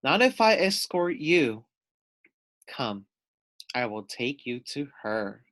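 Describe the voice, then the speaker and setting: A man's voice: medium pitch, sing-song, takes it slowly, fairly bright tone. Man playing a sly, theatrical storybook fox. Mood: sly coaxing. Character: sly Arctic fox, smooth-talking, dramatic trickster.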